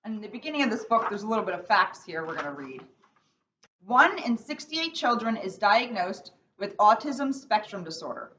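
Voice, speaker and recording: Female officer for children's read-aloud, bright and animated, recorded a little dull and away from the mic. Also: medium pitch, moderate pace. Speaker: police officer reading a children's book. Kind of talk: read-aloud storytelling with casual intro. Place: indoors in a borough hall seating area. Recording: distant mic, dull sound, faint noise.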